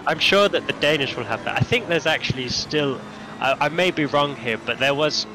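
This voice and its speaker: Halting man commentating a sim race. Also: on the high side, background noise. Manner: halting, many restarts and fillers. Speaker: sim racing charity stream commentator. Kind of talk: casual live commentary. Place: lives in Denmark.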